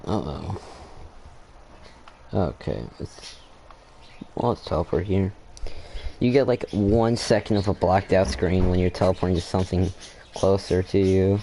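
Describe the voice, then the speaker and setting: Low, mellow-voiced man casually commenting. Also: unhurried, pauses often, a little hiss or hum. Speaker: gaming video creator playing the game.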